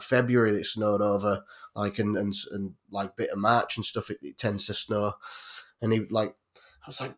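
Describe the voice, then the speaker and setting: An English man in his late thirties rambles through a casual podcast chat. He swears freely and laughs a lot. His voice sits at an ordinary middle pitch. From England. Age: 30s. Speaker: podcast host.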